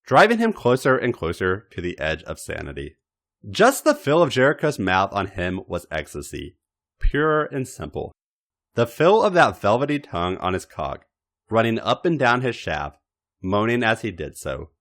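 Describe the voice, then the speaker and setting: Male podcast host and story reader. Low voice, extremely lively intonation, theatrical delivery of scripted erotica. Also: normal speed. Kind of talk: dramatic scripted narration of erotic fiction.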